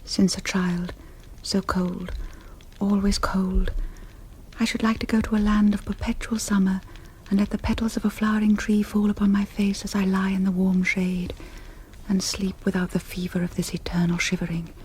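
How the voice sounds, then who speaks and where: An actress playing a languid, melancholy young countess of vampire blood in a theatrical seventies radio drama. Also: female voice, medium pitch, little pitch movement, moderate pace, darkish tone, slight background noise, natural voice, rough recording. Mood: shivering, plaintive. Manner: theatrical, incantatory, swooning. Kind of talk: 1970s radio drama acting.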